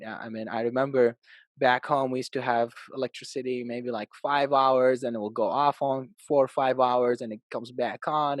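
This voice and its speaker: A man in his thirties from Iraq, a podcast guest telling his life story in an upbeat, animated way. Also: medium pitch, no room sound. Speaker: podcast guest, real estate agent. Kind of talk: casual interview storytelling.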